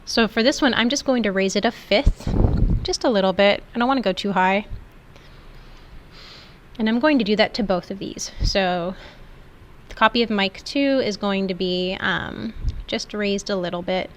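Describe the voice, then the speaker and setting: A woman's voice: mid-range voice, moderate pace. A woman instructor giving an informal, step-by-step screen tutorial in an explanatory way. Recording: clearly noisy background.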